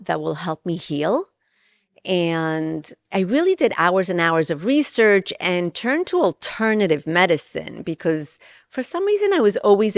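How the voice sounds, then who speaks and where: A woman's voice: on the low side, no echo. A middle-aged woman from Israel and New York, an interview guest explaining her work in an earnest, conversational way. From born in Israel, raised in New York from age ten.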